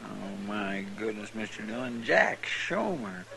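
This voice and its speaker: An American man acting a folksy, softhearted, hesitant frontier deputy with a rural drawl in a radio western. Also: lowish voice, expressive, measured pace, gravelly, heavily acted. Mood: earnest, sympathetic. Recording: noisy old radio recording.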